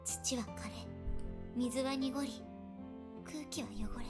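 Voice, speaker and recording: Woman acting as young anime game princess, high voice, solemn, polite, formal, prominent music. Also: lightly acted. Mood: solemn, explaining. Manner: polite, formal, measured phrasing. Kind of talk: Japanese visual novel voice acting.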